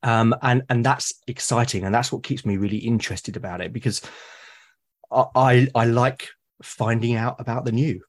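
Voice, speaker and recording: A man's voice: medium pitch, steady tone, normal speed. Male guest, mid-range voice, emphatic and enthusiastic. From Britain. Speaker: podcast guest. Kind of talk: conversational interview.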